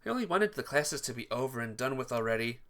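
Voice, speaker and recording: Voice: mid-range voice, very animated, fast talker, a bit bright. A let's player reading a visual novel aloud as dramatic narration, occasionally stumbling and correcting the wording.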